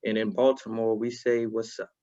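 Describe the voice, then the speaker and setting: A man introducing himself as a youth engagement specialist on a video panel, energetic and declamatory. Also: fairly low pitch, moderate pace, dull audio.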